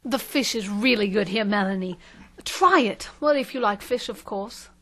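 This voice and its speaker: A woman's voice: moderate pace, a bit bright, close to an everyday voice. A woman playing a polished, articulate media consultant and interviewer in a satirical audio drama. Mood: sociable. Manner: articulate, pitching. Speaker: actor.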